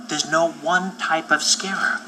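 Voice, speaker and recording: A man voicing an eager, cocky young monster college student in an animated storybook, excitable and emphatic. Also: a little high, measured pace, heavy background noise, natural voice. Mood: eager, confident. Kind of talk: animated storybook character acting. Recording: loud music behind the voice.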